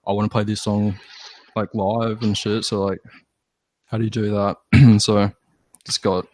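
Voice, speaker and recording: Male musician guest, lowish pitch, laid-back sweary chat, no room echo. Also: little pitch movement, measured pace, a little mellow. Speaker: lead singer, podcast guest. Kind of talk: casual chat.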